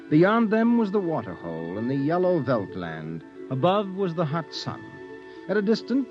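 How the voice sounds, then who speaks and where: An actor narrating a dark tale as the storyteller of a 1950s radio drama. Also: male voice, mid-range voice, close to an everyday voice. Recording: dull old radio recording with music.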